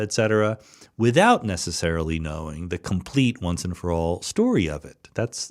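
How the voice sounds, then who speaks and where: A middle-aged man hosting a solo podcast, answering listener questions in an explanatory way and thinking aloud with small restarts. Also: lowish voice. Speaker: podcast host.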